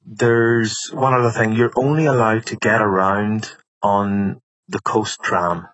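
Irish man calling a friend, hesitant and full of ums, lowish voice, dull lo-fi sound. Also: steady tone, a bit bright. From Ireland. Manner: hesitant, lots of ums and pauses. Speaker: podcast host. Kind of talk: casual phone call.

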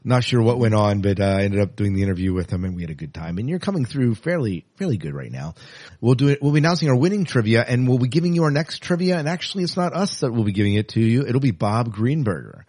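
Man, podcast host, lively swings in pitch, dry close sound, chatty. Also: fast talker, dry recording. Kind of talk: casual podcast chat.

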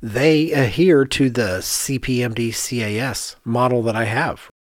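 A middle-aged man chats as a podcast host. His mid-pitched voice is recorded very dry and close. He talks candidly and emphatically, repeating phrases for effect. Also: a little slow, no room sound. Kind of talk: casual podcast chat.

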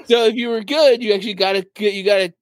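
Male co-host, somewhat high voice, close dry sound, wry casual banter. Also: quick pace, dry recording. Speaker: podcast co-host. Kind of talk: casual chat.

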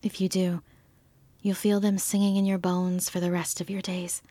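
A woman acts as an earnest field naturalist in an audio drama, full of quiet wonder. Her voice is soft, breathy and husky, recorded close and very dry. She speaks thoughtfully and lyrically, without hurry. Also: fairly low pitch, flat delivery, moderate pace, no room sound, close to an everyday voice. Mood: wistful wonder. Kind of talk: scripted audio-drama field report, measured narration.

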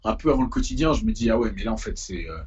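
A man's voice: on the low side, expressive, very fast, darkish tone, breathy edge, dull audio. A young man from Paris, speaking as an interviewed musician in an informal, slangy, hesitant way. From Paris, France. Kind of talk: casual conversational interview.

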